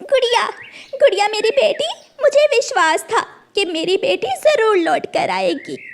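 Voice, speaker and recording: A woman's voice: very high voice, lively intonation, a little slow, voice pushed up, exaggerated voice. A woman voicing a loving mother bird in a cartoon, exclaiming with emotion. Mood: overjoyed.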